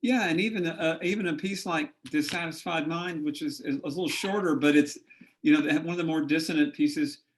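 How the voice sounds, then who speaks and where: A man's voice: a little high, a little fast. A man hosting an interview, talking enthusiastically with frequent stammered repetitions as he asks questions. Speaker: interviewer host. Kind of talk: casual conversational interview.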